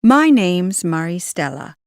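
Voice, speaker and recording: Slow woman, careful. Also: on the low side, sing-song, darkish tone, clear, resonant tone, no echo, studio quality. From Brazil. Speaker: speaker introducing herself. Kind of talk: scripted self-introduction.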